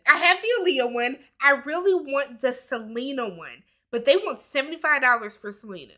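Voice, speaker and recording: Bright-voiced chatty woman host. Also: high voice. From Milwaukee, Wisconsin. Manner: chatty, laughs often, reads viewer comments aloud. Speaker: YouTube host. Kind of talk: casual livestream Q&A chat.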